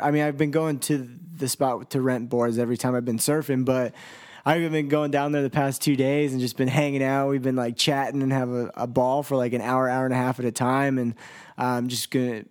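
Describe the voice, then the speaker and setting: A man's voice: medium pitch, a little mellow. A young man co-hosting a podcast, chatting in a laid-back, teasing way. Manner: laid-back, teasing, mimics his co-host in drawn-out voices. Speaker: podcast co-host. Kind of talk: casual podcast chat.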